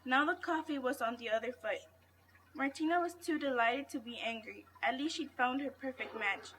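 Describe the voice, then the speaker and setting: A woman's voice: high-pitched, moderate pace, fairly bright tone, poor audio. A woman reading a folktale aloud as a storybook, with faint noise in the background. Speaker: reader at a read-aloud event. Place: school library.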